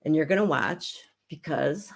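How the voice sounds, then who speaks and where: A middle-aged woman who is hoarse while getting over an illness, chatting casually as she hosts a live skincare demonstration at home. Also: deep voice, lively intonation, measured pace. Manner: chatty and rambling, with frequent restarts. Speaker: beauty consultant and show host.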